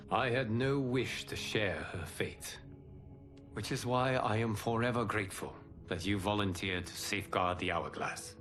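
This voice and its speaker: A serene divine protector, with a low voice and measured, formal delivery. He sounds like a wise mentor in a video game cutscene, with music clearly playing behind him. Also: fairly bright tone.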